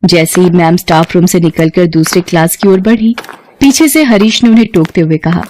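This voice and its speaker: A woman's voice: lowish voice, moderate pace, darkish tone, a little hiss or hum. A woman narrating a scripted story as a storyteller.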